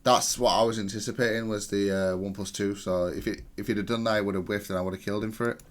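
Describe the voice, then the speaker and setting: Low-voiced man giving analytical gameplay commentary. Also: fairly even intonation, fast. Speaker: gamer reviewing own match.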